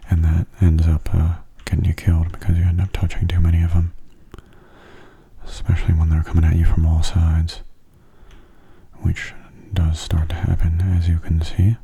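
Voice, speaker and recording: A man giving soft-spoken ASMR commentary over a game in a hushed, breathy murmur, calm and unhurried, close to the microphone. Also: sits low, highs cut off. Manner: calm, unhurried, musing aloud. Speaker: gaming ASMR host.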